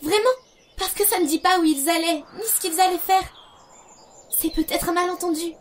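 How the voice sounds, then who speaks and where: Woman playing a bubbly, high-voiced young princess. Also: steady tone, moderate pace, noisy background. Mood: earnest, reassuring. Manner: gushing, rambling, self-correcting. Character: young princess bride, bubbly, devoted, rambling, stubbornly hopeful sister. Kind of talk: animated-fantasy fan dub acting in French. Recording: music behind the voice.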